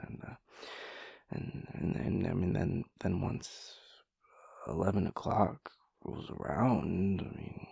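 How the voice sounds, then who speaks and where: A man acts out a sleepy, chaotic boyfriend in an intimate audio roleplay. His low voice is thick with vocal fry, and he rambles in a stammering, playfully mock-serious way as he resists getting up. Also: dark tone, no echo, clean studio sound, exaggerated voice.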